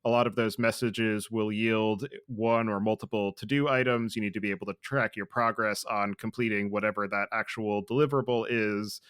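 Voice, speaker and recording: A man hosting a podcast, asking long, structured interview questions in a measured, articulate way. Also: lowish voice, steady tone, a bit bright, smooth, dry recording, studio quality. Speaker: podcast host.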